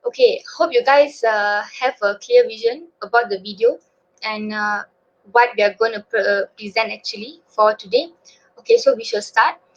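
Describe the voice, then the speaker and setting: A woman from Malaysia with a Malaysian English accent, presenting in a student webinar in an earnest manner with fillers. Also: a little high. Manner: earnest, many fillers and restarts. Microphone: video call. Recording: lo-fi online call audio.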